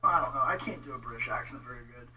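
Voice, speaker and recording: A man with a Texan accent giving an informal, halting, exasperated rant on a casual livestream. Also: mid-range voice, bright, forceful tone. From Texas, United States. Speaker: vlogger, religious debate commentator. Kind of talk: casual livestream rant replying to viewer comments. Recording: distant mic with faint noise and hum.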